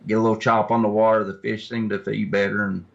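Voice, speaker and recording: A man's voice: fairly low pitch, flat delivery, fast talker, creaky. A man with an American accent chatting casually as a guest. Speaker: podcast guest, kayak angler. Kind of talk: casual chat. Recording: slightly dull, muffled audio.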